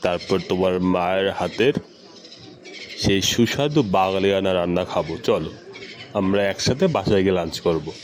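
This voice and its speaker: Deep-voiced cartoon dad, male actor, gentle and coaxing, noisy track with ambient birdsong. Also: normal speed, natural voice. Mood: gently persuading. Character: caring, gentle middle-aged father of a schoolboy. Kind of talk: amateur educational cartoon dialogue.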